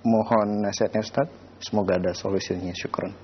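A man's voice: on the low side, steady tone, brisk. A man hosting a religious program, politely and formally reading out listeners' questions. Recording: dull lo-fi audio with background noise.

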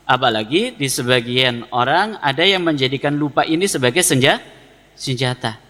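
A man's voice: mid-range voice, lively intonation, normal speed, pushed and bright. A man with a Javanese Indonesian accent giving an Islamic lecture, explaining didactically with repeated phrases and questions he answers himself. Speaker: Islamic preacher teaching a congregation. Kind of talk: religious lecture, reading Arabic text then explaining it. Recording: long reverberant hall echo.

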